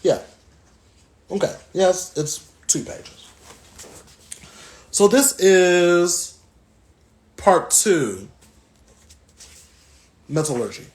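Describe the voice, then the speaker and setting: An American man from the South giving a reflective book talk and reading aloud, thoughtful and halting, with frequent pauses. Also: sits high, very animated. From Southern United States. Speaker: guest reader.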